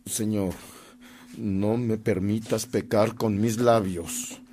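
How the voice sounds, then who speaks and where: An actor playing a devout, dignified priest who speaks earnestly and emphatically in a radio drama. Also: male voice, fairly low pitch, fairly even intonation, slow pace. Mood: prayerful.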